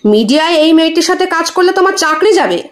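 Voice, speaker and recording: A cautious police boss in an audio thriller read by a woman. The high voice gives orders in a commanding, warning manner. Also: animated, fast, reverberant. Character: cautious police boss pressing a subordinate to stop.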